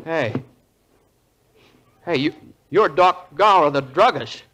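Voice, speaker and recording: A man's voice: fairly high pitch, lively intonation, slow. An actor playing a desperate everyman in a radio drama, stammering and pleading with emphasis. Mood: urgent disbelief. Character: agitated, desperate everyman husband and son. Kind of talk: old radio drama acting. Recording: noisy old radio play recording.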